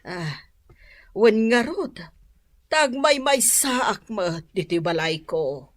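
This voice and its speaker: A woman acts a well-off, bossy auntie in an Ilocano radio drama. She speaks high, with animated swoops and an Ilocano accent, in an instructing way. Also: slow pace. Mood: softer. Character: well-off older auntie giving out household chores.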